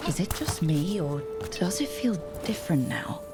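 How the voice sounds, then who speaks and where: An actress playing a calm, supportive woman hero in a fantasy video game, speaking in a reassuring, conversational way. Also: on the low side, moderate pace. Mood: curious. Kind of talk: video game dialogue acting. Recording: loud game music behind the voice. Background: loud game soundtrack music.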